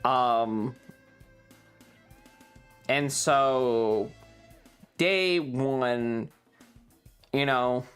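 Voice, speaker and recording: A man streamer telling a casual, rambling story in a halting, wry way with some swearing. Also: medium pitch, fairly bright tone, soft background music. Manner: frequent throat clearing.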